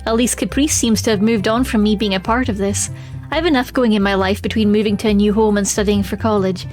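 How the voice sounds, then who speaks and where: A woman's voice: mid-range voice, steady tone, fairly quick, darkish tone. A woman streaming a game, chatting expressively and reading the story text aloud. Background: faint music.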